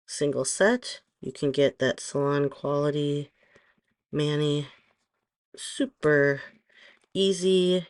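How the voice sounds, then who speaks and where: Slow-talking hoarse woman vlogger. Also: low voice. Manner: slow, rambling, with frequent ums. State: hoarse from allergies. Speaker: nail stylist vlogger. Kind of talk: casual tutorial chat.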